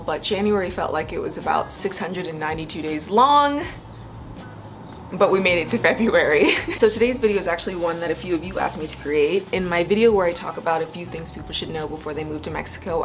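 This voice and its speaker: A woman's voice: lowish voice, fast, a little hiss or hum. A young woman vlogging from her living room, chatty, upbeat and grateful, talking straight to the camera. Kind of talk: casual vlog talk to camera and voice-over. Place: living room, seated on a sofa.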